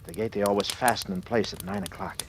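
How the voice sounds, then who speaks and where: A man's voice: fairly low pitch, steady tone, fast talker, vocal fry. An actor playing a desperate, panicked businessman who narrates his ordeal in clipped, urgent sentences, in 1940s radio thriller style. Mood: rising panic. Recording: noisy old-time radio recording.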